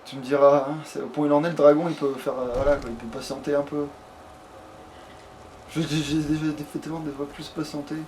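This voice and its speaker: A man's voice: a little high, little pitch movement, fast talker, darkish tone, distant mic, slight background noise, rough recording. A man doing casual let's play commentary, rambling and grumbling in crude slang with plenty of swearing. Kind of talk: casual gameplay commentary chat.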